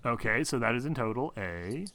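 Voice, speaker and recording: A man's voice: medium pitch, animated, moderate pace, crowd noise. A man acting as game master, casually narrating a tabletop role-playing game.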